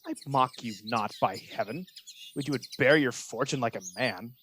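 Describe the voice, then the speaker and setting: A man's voice: medium pitch, a bit bright, slight background noise, natural voice. A man acting a manipulative villain in a Shakespearean radio play, insinuating and theatrical, posing as a loyal officer. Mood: feigned concern. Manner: insinuating, measured, theatrical verse delivery.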